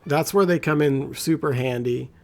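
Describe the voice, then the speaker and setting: A man with a scratchy, gravelly voice giving laid-back, rambling commentary as a gameplay guide. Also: fairly high pitch, normal speed. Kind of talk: casual walkthrough commentary.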